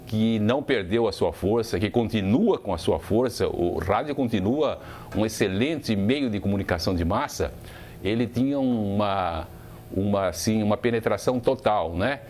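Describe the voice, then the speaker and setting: An older man with a Brazilian accent reminiscing in an interview, repeating words for emphasis. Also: darkish tone. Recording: faint low background rumble.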